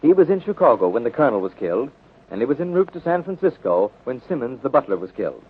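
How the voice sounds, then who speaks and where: A man's voice: mid-range voice, big pitch swings, fast talker, husky. A man narrating a mystery serial as a formal, dramatic 1930s radio announcer. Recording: tinny narrow-band 1930s radio recording.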